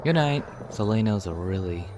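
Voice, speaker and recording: A man playing a calm, supportive young traveler hero in a video game. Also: on the low side, moderate pace, very noisy, lightly acted. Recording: loud game music over voice. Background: loud background music.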